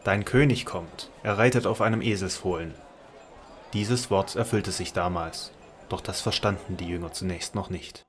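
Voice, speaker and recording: A male narrator with a very deep voice reads a scripted Gospel drama. His storytelling is solemn and measured.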